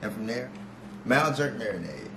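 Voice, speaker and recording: A man's voice: medium pitch, moderate pace. Young man chef walking through a recipe. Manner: steady, instructive, with fillers like "you know". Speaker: guest chef. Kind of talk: casual cooking demonstration. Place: large home kitchen. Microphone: far camera. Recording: echoey kitchen, noisy.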